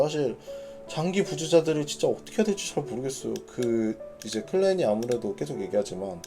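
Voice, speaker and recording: A man hosting an informal live announcement for a gaming community, reading notes and explaining them in a conversational way while thinking aloud. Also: mid-range voice. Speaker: gaming clan leader hosting announcement broadcast.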